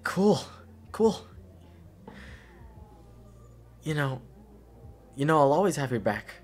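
A young man playing an affectionate, goofy best friend at a party in an intimate audio roleplay, halting and repeating himself in casual slang. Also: a little high, very animated. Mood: reassuring, tender.

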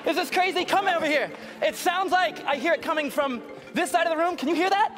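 A man's voice: extremely high pitch, a little fast, a bit bright. A man performing magic on stage, directing a judge and the audience with showy patter. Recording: live show with music and crowd.

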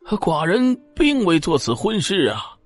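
A man's voice: high-pitched, sing-song, a little slow, dry recording, soft background music, full character voice. An actor playing a prematurely aged, commanding yet anxious ancient Chinese king in a formal, imperious audio drama style. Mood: urgent, anxious.

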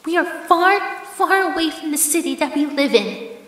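High-pitched possessive yandere girlfriend, drenched in echo. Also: animated, fairly bright tone. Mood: menacing. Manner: sugary endearments, slow and theatrical, commanding. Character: possessive, menacing yandere ex-girlfriend haunting a mansion. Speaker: voice actress in audio roleplay. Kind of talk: ASMR horror roleplay acting. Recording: echoey, long hall-like reverb.

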